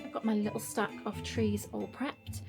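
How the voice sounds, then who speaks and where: A woman's voice: medium pitch, flat delivery, moderate pace, gravelly, over loud music. A British woman giving a casual, chatty craft tutorial as a vlog host. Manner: chatty, informal.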